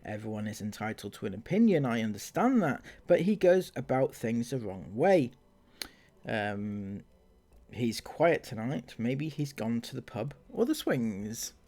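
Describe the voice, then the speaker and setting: A man's voice: mid-range voice, big pitch swings, a little mellow. A man hosting a commentary channel, reading viewer comments aloud and mocking them, with frequent laughs and sarcasm. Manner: sarcastic, laughs often, drawn-out delivery.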